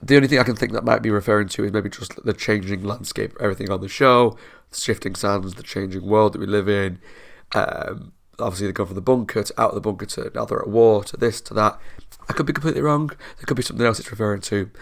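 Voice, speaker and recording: A man's voice: lowish voice, fast talker, vocal fry. A man with a bunged-up nose from a cold, hosting a reaction channel in casual, chatty commentary. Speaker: host of a TV show reaction channel.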